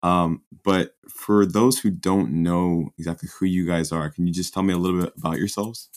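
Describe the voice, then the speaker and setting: A young man hosting a casual podcast interview, relaxed and quick to laugh. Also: deep voice. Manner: relaxed, laughs often, easygoing. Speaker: podcast host.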